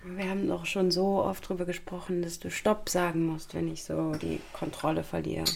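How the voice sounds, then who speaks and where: A woman's voice: fairly low pitch, little pitch movement, moderate pace, gravelly, a little mellow, slight background noise. Volatile young girlfriend, clattery background. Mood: wounded, self-justifying. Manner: colloquial, confrontational, accusing. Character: volatile, controlling young girlfriend who blames and guilts her partner. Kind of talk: naturalistic German audio drama acting.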